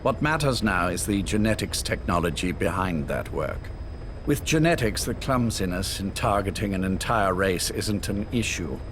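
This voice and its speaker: Over a loud engine-like rumble, an older spymaster from a dramatic video game. His voice sits fairly low. He lectures with cold, formal assurance, measured and visionary. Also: lively intonation. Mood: dismissive, lecturing. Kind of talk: scripted dramatic game dialogue.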